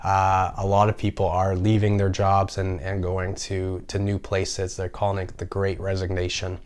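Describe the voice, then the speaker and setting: Man, low pitch, slight rasp from a lingering cold, casual explainer vlog delivery. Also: completely flat delivery, normal speed, darkish tone. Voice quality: slightly raspy from a recent cold. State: getting over a cold, slightly raspy voice. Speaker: personal finance video host. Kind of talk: casual informational vlog talk.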